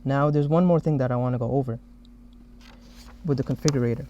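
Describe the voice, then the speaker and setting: Man, mellow mid-pitched voice, developer walking through a software demo, slightly muffled lo-fi recording. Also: brisk, slight background noise. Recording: dull, muffled lo-fi audio.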